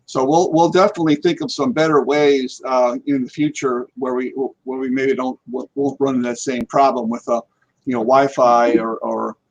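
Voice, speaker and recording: Gravelly-voiced man chatting, rambling along. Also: mid-range voice, fairly even intonation, moderate pace, low-quality recording. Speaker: guest, paranormal investigator. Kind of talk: casual chat on a live talk show.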